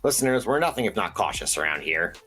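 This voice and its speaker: An actor playing an eccentric, conspiracy-minded late-night radio host in an audio drama, theatrical, folksy and rambling. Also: male voice, sits low, sing-song, fast, pushed and bright, heavily acted. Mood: earnest. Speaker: radio call-in show host.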